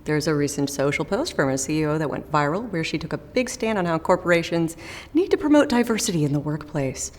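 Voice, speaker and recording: A woman's voice: low-pitched, big pitch swings, quick pace, in a large hall. A woman playing a recruiter in a scripted phone interview, with a friendly, professional manner. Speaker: recruiter in role-play.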